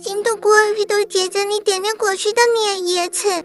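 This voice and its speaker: Very high-pitched plaintive little village girl. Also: level tone, a little fast. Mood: plaintive, defensive. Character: young village daughter, plaintively explaining herself. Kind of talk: cartoon voice acting.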